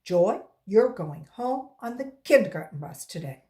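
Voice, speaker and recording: Woman as a stern, disapproving schoolteacher, deliberate. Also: medium pitch, very animated, mellow.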